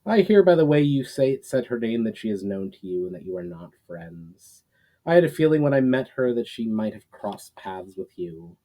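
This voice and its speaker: A man plays an age-old fallen warlord from a fantasy tale, tired and full of repentance. The speech is formal and earnest in a low, soft-edged voice. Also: sing-song, normal speed, poor audio. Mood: reflective.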